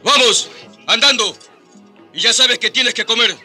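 A jeering colonial guard, very high and cuttingly bright in voice. He is bossy and callous, in a radio drama with faint music behind. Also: unhurried, full character voice. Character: callous, taunting colonial soldier guarding captives.

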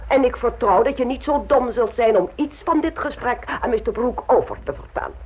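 A woman's voice: a little high, animated, a little fast, slightly rough, highs cut off. An actress playing an anxious, commanding upper-class mother in an old radio play, dramatic and proper. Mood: stern.

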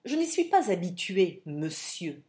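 A woman's voice: lowish voice, sing-song, measured pace, warm tone. A woman voicing a burly, haughty English army captain in an audiobook, formal and sarcastic in manner. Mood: cold anger. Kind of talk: audiobook character acting.

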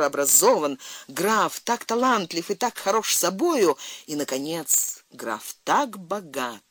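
Expressive audiobook narrator, lively intonation. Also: medium pitch, no echo.

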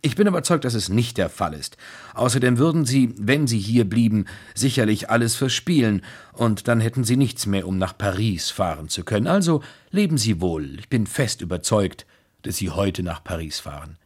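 A man reading an audiobook voices a reserved English gentleman who speaks evenly, as if reading from a book. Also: lowish voice, animated, normal speed, breathy edge. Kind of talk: audiobook character voice.

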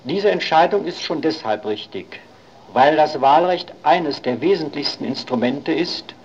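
An actor playing an earnest post-war parliamentarian making a formal, emphatic speech in a documentary radio drama. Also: male voice, a little high, animated, measured pace, darkish tone, lo-fi sound, a little hiss or hum. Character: earnest male post-war social democratic parliamentarian arguing his case.

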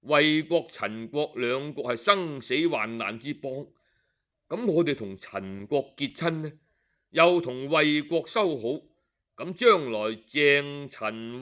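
A man voicing an earnest minister who gives his prince measured, persuasive counsel, in an audiobook tale. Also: mid-range voice, sharp tone, pitched below the performer's own voice, exaggerated voice.

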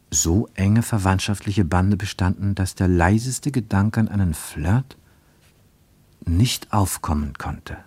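Male narrator for a radio travelogue play. Fairly low voice, dry close studio sound, measured and expressive. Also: slightly rough, no room sound. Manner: expressive, measured storytelling delivery.

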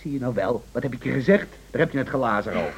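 A man's voice: mid-range voice, lively intonation, quick pace, creaky, slight background noise. A man playing a cynical, mocking husband in a Dutch radio play, lecturing in a sardonic way. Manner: sardonic, lecturing, piling up lists.

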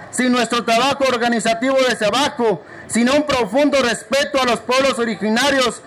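Man, very high voice, formal declaration read aloud, measured, declamatory. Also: fairly bright tone, poor audio. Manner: measured, declamatory, enumerating points. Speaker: reader of a forum declaration.

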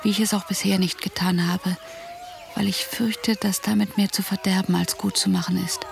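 A woman's voice: mid-range voice, level tone, moderate pace, vocal fry, soft background music. A woman playing a caring, worried young woman in a radio drama, reading letters and diary entries in a composed, reflective way. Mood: concerned.

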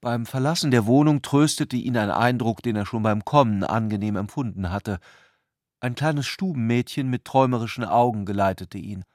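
A man reading literary prose as the narrator of a radio drama, in a scripted, measured literary style. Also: mid-range voice, a little mellow, no room sound, clean studio sound.

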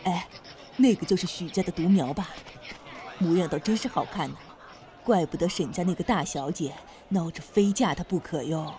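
Woman acting a mellow-voiced town gossip. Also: fairly low pitch, moderate pace, a faint crowd, a little hiss or hum, close to an everyday voice. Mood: curious, gossipy. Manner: folksy gossip, marvelling. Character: nosy, gossiping female townsperson. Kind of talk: Chinese period audio drama acting.

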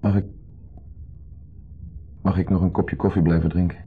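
A very deep, meek husband. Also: male voice, flat delivery, moderate pace, husky, faint music behind. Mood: resigned. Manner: hesitant, subdued. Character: insecure, meekly resigned husband. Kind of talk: 1970s radio drama acting. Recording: dull 1970s radio play recording.

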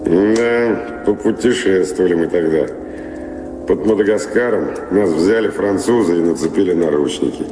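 An actor playing a talkative, nostalgic former pirate and wanderer in an audio drama, musing in short halting phrases. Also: male voice, low voice, over music, close to an everyday voice. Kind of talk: Russian audio drama acting. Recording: roomy reverberant hall sound.

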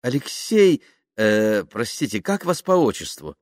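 Man voicing an eager, high-pitched starstruck woman. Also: measured pace, no echo, clean studio sound. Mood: hopeful, earnest. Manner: gushing and expressive, easily offended. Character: eager, touchy, starstruck woman dreaming of acting.

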